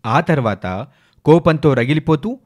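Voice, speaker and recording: A man's voice: fairly high pitch, expressive, unhurried. A man narrating a scripted dramatic story as its storyteller.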